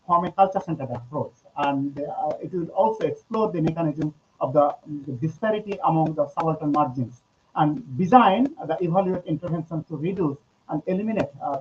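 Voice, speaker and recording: A fairly high-voiced man, presenting academic work remotely. He talks haltingly, with lots of filler sounds between his phrases. His voice comes through muffled, video-call audio. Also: slight background noise. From Bangladesh.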